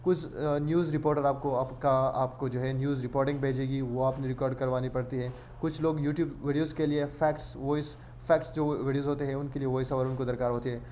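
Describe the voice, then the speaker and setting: A man's voice: mid-range voice, fairly even intonation, fairly quick, hardly any pauses, a little mellow, slight background noise. A man hosting an online tutorial, explaining step by step in a casual way and mixing English words into his speech. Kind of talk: casual screen-share tutorial narration.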